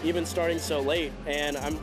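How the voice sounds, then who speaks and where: A man's voice: fairly high pitch, flat delivery, normal speed, low-quality recording. A man in his twenties, a race car driver answering interview questions in an upbeat, enthusiastic way. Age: 20s. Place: outdoors in a race paddock garage. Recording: loud music bed underneath. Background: loud background music.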